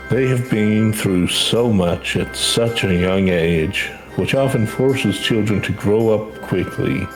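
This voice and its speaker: A gentle and proud male teacher from a fantasy game, read out by a man. The voice is fairly low and speaks formally and earnestly, with music behind it. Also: slow, low-quality recording. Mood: warm, reflective. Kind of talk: video game dialogue read aloud.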